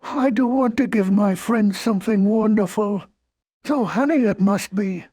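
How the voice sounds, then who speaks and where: Woman as kindly naive bear, mellow, slow, musing, dry sound, children's storybook. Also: medium pitch, no echo, lightly acted. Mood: hopeful. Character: gentle, simple, kindly male teddy bear. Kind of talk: children's storybook character acting.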